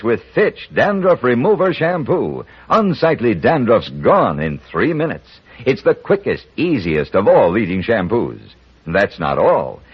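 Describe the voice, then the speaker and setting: An American man announcing a vintage radio commercial in an emphatic sales style with a slightly husky voice. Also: lowish voice. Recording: muffled vintage radio recording.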